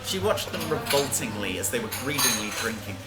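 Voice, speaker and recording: A woman's voice: a bass voice, expressive, fairly quick. A woman reading a scripted story aloud as a narrator, with music behind her. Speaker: reader.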